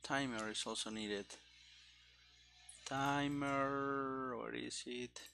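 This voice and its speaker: A man with a mid-pitched voice talks very slowly, as a programmer thinking aloud. He mutters in fragments, in the casual style of a live-coding commentary. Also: fairly even intonation, vocal fry, pushed and bright, slight background noise. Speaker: programmer streaming game development.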